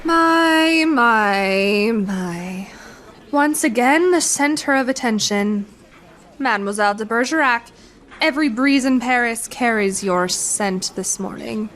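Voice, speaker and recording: A woman's voice: on the high side, lively intonation, slow, a bit bright. A woman playing a haughty, scheming noblewoman, imperious and condescending, in a radio drama. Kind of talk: radio theatre acting.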